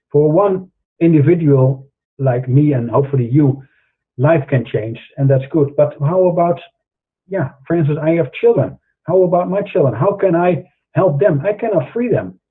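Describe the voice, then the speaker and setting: Husky Dutch-accented man over a tinny line. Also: fairly high pitch. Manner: earnest, preachy, with frequent fillers and restarts. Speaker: host. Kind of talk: monologue to camera in an online show.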